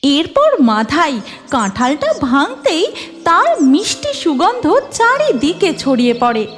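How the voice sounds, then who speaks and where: Female storyteller, high lively pitch, scripted cartoon narration, faint music behind. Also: takes it slowly.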